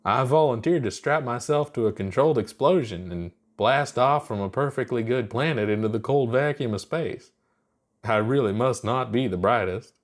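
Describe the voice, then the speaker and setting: A man's voice: mid-range voice, normal speed, natural voice. Man voicing a mild, earnest alien rookie. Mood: self-deprecating. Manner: plain-spoken, unbothered, literal. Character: mild, open, earnest young alien astronaut.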